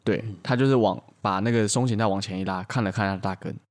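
A man's voice: fairly low pitch, fairly even intonation, fast. A man co-hosting a podcast, improvising a playful story and bantering with friends.

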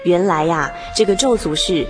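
A woman's voice: on the low side, moderate pace. A woman narrator giving a scripted, dramatic storytelling narration. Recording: music behind.

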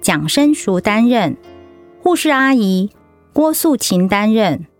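A woman's voice: mid-range voice, very animated, slow pace. A woman formally announcing scripted radio drama credits. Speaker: announcer reading cast credits. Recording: music under the voice.

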